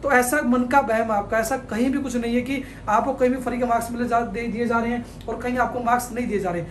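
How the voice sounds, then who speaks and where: A man's voice: very high voice, a little hiss or hum. A man in his thirties from India, giving study advice straight to camera with a clip-on mic in a small studio. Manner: fast, energetic, gestures with hands while explaining. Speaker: education counselor. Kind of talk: direct-to-camera advice video. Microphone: clip-on lapel mic. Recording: echoey room with faint ambience.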